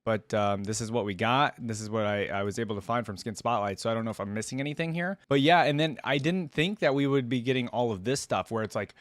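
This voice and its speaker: A man's voice: mid-range voice, fast talker, hardly any pauses, no room sound. Man doing casual reaction commentary, dry sound. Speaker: reaction video host.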